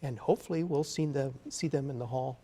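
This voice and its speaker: A man's voice: medium pitch, a little fast, warm tone. A man speaking as an interview guest, an orchestra conductor explaining things enthusiastically in a TV studio. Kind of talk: live TV interview talk.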